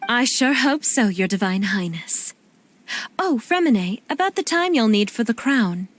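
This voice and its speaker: A woman playing a gentle, courteous storyteller in a video game, with formal, measured phrasing. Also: a little high, animated, slight background noise. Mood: warm, polite.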